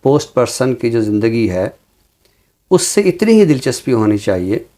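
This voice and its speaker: A man with a Pakistani accent giving reflective commentary like a teacher, measured and explanatory, with deliberate pauses. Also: medium pitch, very animated, mellow. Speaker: teacher and programme guest. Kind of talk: reflective social commentary in an interview.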